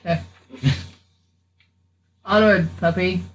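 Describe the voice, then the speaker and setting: A woman's voice: fairly low pitch, fairly even intonation, slow pace, a little hiss or hum, low-quality recording. A woman playing a tabletop roleplaying game, bantering playfully in and out of character.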